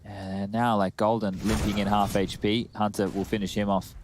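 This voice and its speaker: A man's voice: on the low side, level tone, moderate pace, background noise. A man giving analytical commentary on an esports match, explaining tactics.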